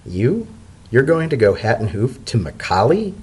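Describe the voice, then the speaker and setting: A man voicing a brisk, wry female pony press secretary, stubborn and incredulous, in a dramatic story reading. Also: medium pitch, very animated, breathy edge.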